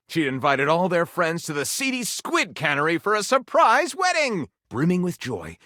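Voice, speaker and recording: A theatrical male storyteller from a video game, spinning absurd pulp detective tales in total mock seriousness. His pitch swings widely from line to line for maximum melodrama. The recording is dry and close, with no room around the voice. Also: fairly bright tone, no room sound. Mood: excited. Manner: dramatic, mock-serious delivery with big swings. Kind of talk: theatrical scripted game narration.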